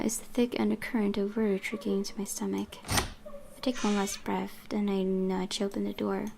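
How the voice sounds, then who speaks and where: Woman, creaky voice, mid pitch, dramatic story reading, game let's play, some background noise. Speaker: gaming YouTuber. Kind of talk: reading visual novel narration aloud.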